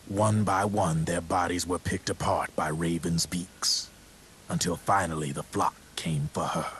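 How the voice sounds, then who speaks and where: A man playing a military contact in a video game, telling grim war stories in an ominous, deliberate, sardonic way. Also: low-pitched, a bit bright. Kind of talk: dramatic video game storytelling. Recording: faint background hiss.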